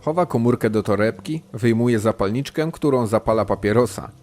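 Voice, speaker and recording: A man's voice: medium pitch, a little fast, darkish tone. A man reading scripted present-tense narration for an audio drama.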